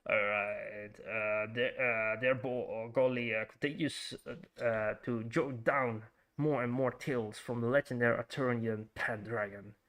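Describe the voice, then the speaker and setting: A man's voice: medium pitch, steady tone, unhurried, few pauses, fairly bright tone. Chatty Frisian-accented male game streamer. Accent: Frisian Dutch. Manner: chatty, thinks aloud, greets chat, many fillers and restarts. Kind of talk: casual livestream commentary while playing.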